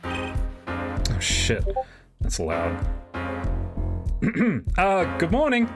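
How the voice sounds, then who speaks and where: Casting: male stream host, joking and sweary, lively pitch movement, slow tempo. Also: music in the background. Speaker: host of a game dub stream. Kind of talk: casual live stream chat.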